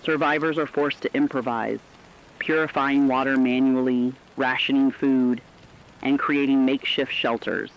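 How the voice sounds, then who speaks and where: A serious radio reporter voiced by a man at an average pitch. He reads a fictional catastrophe bulletin in measured, scripted narration. The sound is dull and lo-fi, with rain behind it. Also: steady tone, lightly acted.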